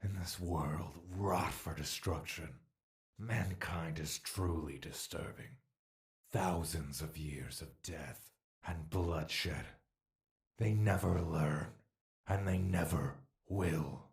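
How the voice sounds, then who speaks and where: Audio drama role: an age-old malevolent spirit, cavernously deep and unhurried, taunting and tempting with theatrical menace. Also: male voice, creaky, full character voice. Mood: brooding contempt. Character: ancient male spirit trapped within a mortal, scornful, bloodthirsty, tempting.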